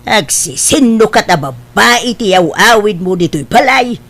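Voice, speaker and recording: Woman doing a scolding mom in a family radio drama, medium pitch, disapproving and full of questions. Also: lively intonation, a little slow, fairly bright tone. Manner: reproachful, questioning. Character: disapproving, scolding mother of a grown son. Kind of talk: Ilocano radio-style family drama acting.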